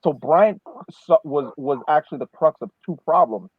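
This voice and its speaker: A man's voice: on the high side, normal speed, husky, no echo, phone-quality sound. A man co-hosting a sports podcast, chatting casually about soccer and often repeating words as he starts his sentences. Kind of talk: casual soccer analysis chat.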